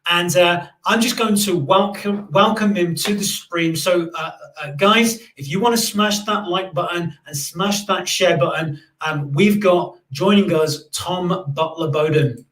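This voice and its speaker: A man hosts a live-streamed interview in a loose, chatty way, full of "uh"s and restarts. His voice sits high for a man. The room around him rings with echo. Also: unhurried, few pauses, fairly bright tone. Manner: chatty, many fillers and restarts. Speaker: podcast host.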